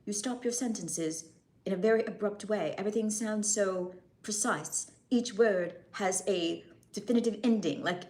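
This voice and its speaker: A woman's voice: mid-range voice, moderate pace, a little mellow. American lady on a live stream, chatty, laughs a lot, mid-range voice. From United States. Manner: chatty, answers chat comments, laughs often. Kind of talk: casual live-stream chat.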